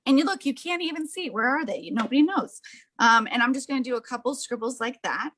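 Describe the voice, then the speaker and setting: Woman, fairly high voice, art demonstrator, chatty and gushing, casual how-to delivery. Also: brisk, fairly bright tone. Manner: enthusiastic, gushing. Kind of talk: casual hands-on craft demonstration.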